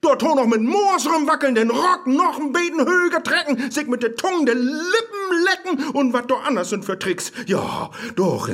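Vain seducer, radio drama. Low German, pompous, highly animated melody. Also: male voice, exaggerated voice. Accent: Low German (northern Germany). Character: vain lecherous man, flattering suitor turning contemptuous and crude. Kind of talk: radio play acting in rhymed dialogue.

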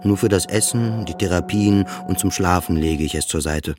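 An actor playing a weary, depressed psychiatric patient in a literary radio play, telling his story in halting, repetitive phrases. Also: low-pitched, over music, natural voice. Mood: flat, weary. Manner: halting short phrases, repeats words, often drops to whispers.

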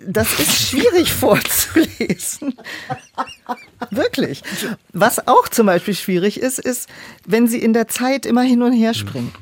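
A woman's voice: on the high side, big pitch swings, measured pace, slightly rough. A woman speaking in a reflective interview as an audiobook director, explaining things and illustrating them with quoted asides. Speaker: audiobook director and producer, podcast guest.

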